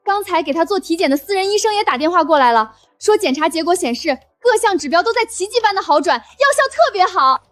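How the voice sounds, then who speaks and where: An actress playing an outspoken, practical young sister-in-law from the city, with an expressive, persuasive manner. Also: female voice, extremely high pitch, fast, hardly any pauses. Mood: eager, persuading. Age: young adult. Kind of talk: scripted drama acting.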